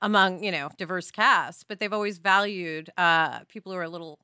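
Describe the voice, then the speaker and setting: A middle-aged woman co-hosting a podcast in casual discussion, chatty and emphatic in an animated way. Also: on the low side, fairly quick, clear, resonant tone, no echo, studio quality. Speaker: podcast co-host.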